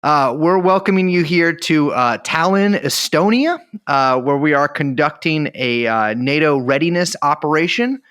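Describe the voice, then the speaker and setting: Male podcast co-host with a slightly high voice, profane and deadpan, in quick bursts. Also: smooth. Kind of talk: casual joking chat.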